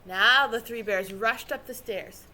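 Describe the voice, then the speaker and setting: A woman reading a children's story aloud with expressive, dramatic narration. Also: on the high side, measured pace, rough recording. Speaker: storybook reader.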